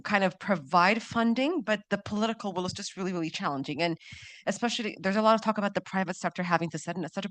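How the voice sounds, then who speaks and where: A woman from Ethiopia puts a question to a seminar speaker. She talks quickly, laying out her point as a climate delegate would. Her voice comes across dry, with very little room sound around it. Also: on the low side, fairly bright tone, no echo. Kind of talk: spoken audience question.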